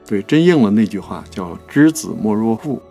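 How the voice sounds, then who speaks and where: A man's voice: fairly low pitch, animated, moderate pace, mellow, faint music behind. A man co-hosting a poetry programme, discussing literature in a reflective, conversational way in short phrases. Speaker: producer and co-host of a poetry programme.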